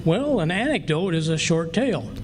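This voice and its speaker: A high, animated voice from a man acting a wisecracking, exasperated husband in a radio comedy. He grumbles and gets worked up easily. The live stage recording has a faint noisy background. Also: moderate pace, close to an everyday voice.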